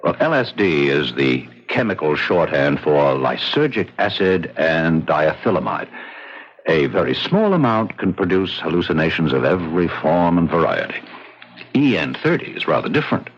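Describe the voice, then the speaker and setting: An actor playing a self-assured, persuasive psychiatrist in a radio drama, measured and clinical as he lectures. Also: low voice, very animated, full character voice. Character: smooth-talking, self-assured male psychiatrist, coolly persuasive. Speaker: doctor. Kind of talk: 1970s radio drama acting. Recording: husky-sounding, muffled old radio recording.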